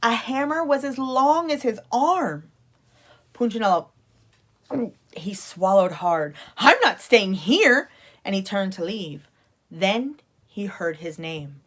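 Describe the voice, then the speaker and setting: A woman's voice: mid-range voice, animated, slow. A woman reading a children's storybook aloud expressively, like a teacher at story time.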